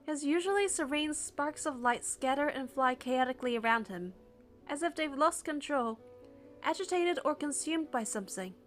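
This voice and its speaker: A woman narrator reading a first-person story from a script in an expressive, suspenseful, dramatic way. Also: high-pitched, normal speed, faint music behind.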